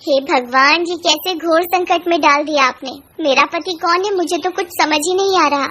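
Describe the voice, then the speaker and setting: A woman's voice: very high-pitched, moderate pace, a bit bright. A woman voicing a distressed, devoted young village wife in a horror story, pleading and melodramatic. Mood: confused, distressed.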